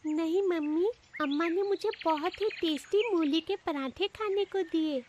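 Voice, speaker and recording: A woman's voice: very high voice, measured pace, darkish tone, highs cut off, a little hiss or hum. A woman voicing an innocent five-year-old schoolgirl in a cartoon moral story, with a childlike, plaintive manner. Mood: pleased.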